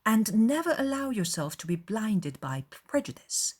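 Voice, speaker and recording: A woman's voice: medium pitch, very animated, unhurried, a little mellow, pitched above the performer's own voice. Woman acting a spirited, arch young lady. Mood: probing, arch. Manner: arch, articulate, emphatic. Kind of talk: dramatized audiobook acting.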